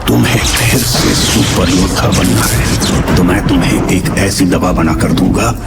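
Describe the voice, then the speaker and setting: Gravelly, scheming spirit mentor. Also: male voice, deep voice, steady tone, a little slow, loud music behind the voice. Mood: instructing. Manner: grave, theatrical, commanding. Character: scheming male spirit mentor living in a magic ring. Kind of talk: Hindi audio drama acting.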